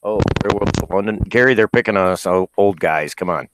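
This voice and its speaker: A man's voice: sits low, fairly quick, heavy background noise. A man in his fifties chatting casually and bantering on a live group show. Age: 50s. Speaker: live chat show panelist.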